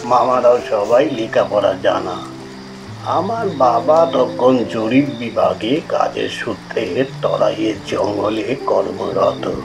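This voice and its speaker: A man's voice: medium pitch, rough recording. An actor playing an older village man telling an uncanny tale from his youth in an audio drama, conversational and emphatic. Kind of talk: audio-story dramatic storytelling.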